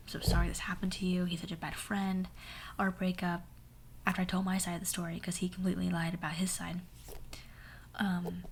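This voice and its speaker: A young woman with a hoarse voice telling a sassy, dramatic story in a soft whisper. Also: fairly low pitch, a little hiss or hum. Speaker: ASMR storyteller. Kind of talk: whispered ASMR story time.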